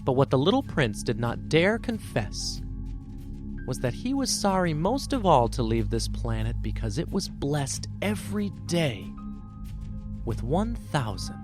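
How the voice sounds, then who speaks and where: Male host-narrator for story podcast: reflective, sincere, very varied intonation, clear music bed. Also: medium pitch, very animated, slow pace. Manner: earnest, reflective. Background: music under the voice.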